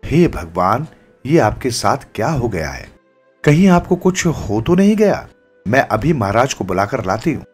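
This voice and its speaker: A man's voice: medium pitch, big pitch swings, a little slow, darkish tone, husky, faint music behind. A man voicing a devoted, affectionate young palace maid in a fussing, exclamatory way for a story. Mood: alarmed.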